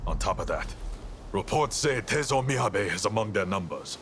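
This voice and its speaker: A man playing a stern, resolute samurai commander in a video game cutscene, speaking in a measured, commanding way. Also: on the low side, expressive, fairly bright tone. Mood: grave, commanding. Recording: clear background noise, ambience.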